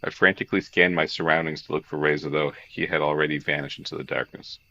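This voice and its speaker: Super deep gravelly guy doing a game read-along, swears a lot, kinda dull lo-fi mic. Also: fast. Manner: swears, excitable, jokey. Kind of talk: lively visual novel read-along with casual commentary.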